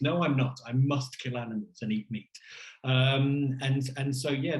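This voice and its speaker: A man answering questions as an academic keynote speaker, conversational and discursive, circling and correcting himself as he goes. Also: mid-range voice. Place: indoor room on a virtual conference call. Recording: roomy, echoey video call sound.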